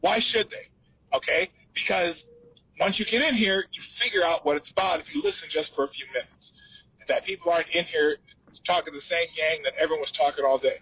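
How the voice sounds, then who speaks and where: A man's voice: a little high, a little fast, pushed and bright, slightly rough. A man speaking casually in an audio chat room, recorded in his car through wireless earphones, with a tinny sound. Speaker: podcast host heard in a recorded audio chat. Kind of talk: casual commentary.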